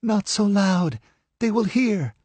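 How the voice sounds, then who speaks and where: A desperate young noblewoman, near tears, pleading in a high, strained voice that climbs well above a man's own register, as a male narrator puts her on. The voice is a little louder than the narration and a bit husky, recorded dry and close. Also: expressive, slow, no room sound, heavily acted.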